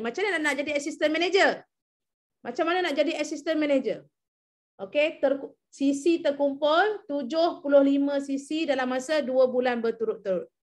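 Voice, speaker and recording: A young adult woman with a Malaysian accent leading an online team briefing, coaxing and repeating key numbers while asking her team to reply. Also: high-pitched. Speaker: MLM team leader presenting an incentive program.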